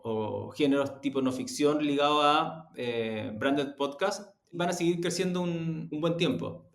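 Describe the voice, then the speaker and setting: Echoey, higher-pitched Chilean man explaining. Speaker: interview guest, audio production executive. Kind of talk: interview answers, explanatory. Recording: roomy, echoey sound.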